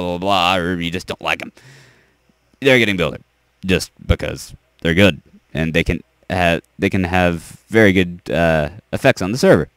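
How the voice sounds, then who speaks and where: A young man losing his voice, giving a rambling, halting explanation of server ranks with lots of fillers and restarts. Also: sits low, clear, resonant tone, no room sound. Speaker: server owner.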